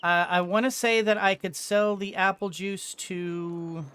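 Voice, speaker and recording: A woman's voice: mid-range voice, fairly even intonation, unhurried, fairly bright tone, clean tone. A woman giving casual let's play commentary, thinking aloud with hesitant phrasing as she goes. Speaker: gaming streamer.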